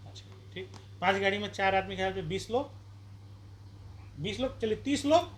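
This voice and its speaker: A man's voice: high-pitched, normal speed, a bit bright. Male candidate, street interview, argumentative and rousing, light background noise. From Bihar, India. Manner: combative, rhetorical questions, campaign-style appeals. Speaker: independent election candidate.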